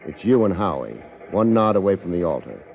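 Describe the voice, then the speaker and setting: An actor playing a wry, hardboiled American private investigator, wisecracking drily in a 1950s radio detective drama. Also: male voice, sits low, very animated, fairly quick, creaky, exaggerated voice. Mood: sardonic. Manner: wisecracking, dry, sardonic. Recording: muffled 1950s radio recording.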